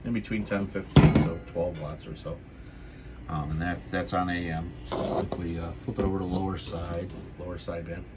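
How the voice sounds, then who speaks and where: A man's voice: low voice, level tone, normal speed, over loud music. A man giving a casual, unscripted, matter-of-fact product demonstration as the seller, on a handheld home video.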